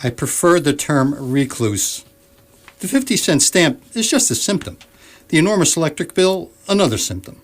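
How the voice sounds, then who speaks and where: A man's voice: on the high side, lively intonation, moderate pace. Man acting a grumpy, swooping, fed-up husband. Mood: resigned, defiant. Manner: grumbling, exasperated. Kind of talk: comedic radio sketch acting.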